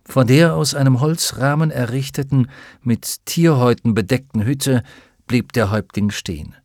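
A man reading an adventure audiobook aloud in a steady, even storytelling manner. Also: medium pitch, normal speed, no room sound. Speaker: audiobook narrator. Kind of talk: scripted narration. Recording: dry close studio sound.

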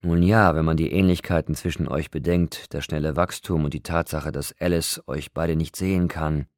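A man voicing a calm, thoughtful male doctor in a story, measured and musing in a soothing manner. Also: extremely low pitch, no room sound, clean studio sound.

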